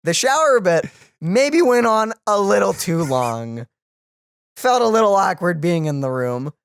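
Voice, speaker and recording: An excitable fan co-hosting a reaction video in casual, animated chat. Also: medium pitch, a little slow, vocal fry, clear, resonant tone, no room sound.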